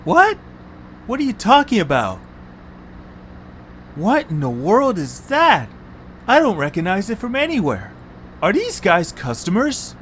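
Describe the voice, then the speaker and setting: A man's voice: high-pitched, wildly swooping pitch, measured pace, pauses often, fairly bright tone, a little hiss or hum, voice pushed up, heavily acted. A man voicing a young male cartoon creature who is whiny, put-upon and prone to exasperated complaint. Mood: startled, puzzled.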